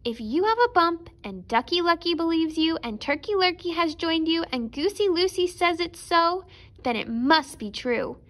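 A woman's voice: very high-pitched, expressive, normal speed, fairly bright tone, pitched above the performer's own voice. A woman voicing an inquisitive, doubtful storybook rooster who questions and reasons aloud. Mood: reasoning.

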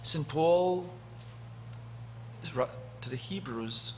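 Male priest, middle-aged, slightly high voice, slow deliberate sermon delivery with long dramatic pauses, faint background hiss. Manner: slow and deliberate, long pauses, swelling loud then dropping soft. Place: church sanctuary, indoors. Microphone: lectern gooseneck mic.

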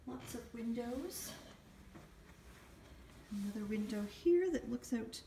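Lady doing a house rental tour on her phone, slow and halting, really echoey empty rooms. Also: fairly high pitch, lively intonation. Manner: halting, pausing between short phrases. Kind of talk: walk-through property tour narration. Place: walking through an empty house. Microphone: handheld phone behind the camera. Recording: echoey empty rooms, clear background noise.

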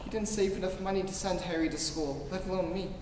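A man's voice: sits high, fairly even intonation, fast talker, natural voice. Man playing an earnest young idealist, echoing hall. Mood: impassioned. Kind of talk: staged old-time radio play acting. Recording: echoey hall from far off, noisy.

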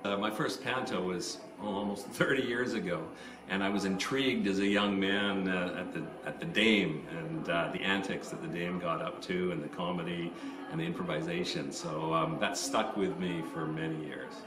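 A man's voice: sits low, moderate pace. A middle-aged man from a stage cast answering in an interview. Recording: echoey room, distant mic.

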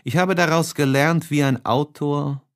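Slow-paced man reading a literary audiobook. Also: a little high, steady tone. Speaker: audiobook narrator. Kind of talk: dramatic literary audiobook reading of a first-person classic novel.

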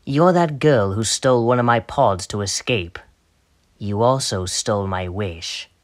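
A man's voice: fairly low pitch, measured pace, lightly acted. A man voicing a vain, sadistic alien tyrant from an anime, theatrical and mockingly polite as he taunts and gloats. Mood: cool, menacing. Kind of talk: anime villain voice demo, acted character lines.

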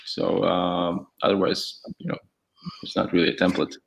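A young man whose first language is Latvian, speaking English as a guest on a video call with a headset, candid and halting with many restarts. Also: sits low, completely flat delivery, creaky, poor audio. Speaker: guest, e-learning template developer. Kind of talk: casual video call chat.

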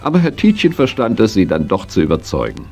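An actor as the storyteller narrating a children's tale in measured, scripted style. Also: male voice, on the low side, wildly swooping pitch, a little mellow, faint music behind.

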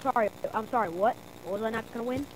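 An eleven-year-old boy casually chatting and teasing his opponent while playing a shooter game. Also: high-pitched. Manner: fast, teasing trash talk. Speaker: gamer and channel host. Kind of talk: casual gaming chat. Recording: noisy home mic over game sounds.

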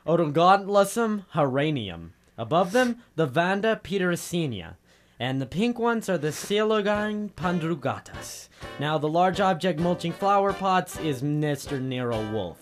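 A man's voice: a little high, very animated, measured pace, soft background music. An actor playing a brash, wisecracking detective's legman and narrator, slangy and wry, in old radio drama style. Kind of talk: 1950s radio drama acting.

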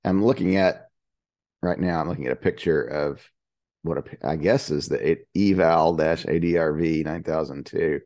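A man with a very deep, dark voice asks puzzled questions on a video call, pausing on fillers as he thinks. The recording is dry and close. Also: fairly even intonation, dry recording. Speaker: engineering team member asking questions. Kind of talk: technical meeting discussion.

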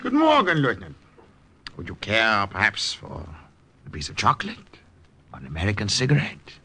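An actor playing a suave, menacing German Gestapo commander with a German accent, in old radio villain style. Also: male voice, fairly low pitch, wildly swooping pitch, moderate pace, very noisy. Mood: silky, probing. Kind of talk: 1950s radio villain acting.

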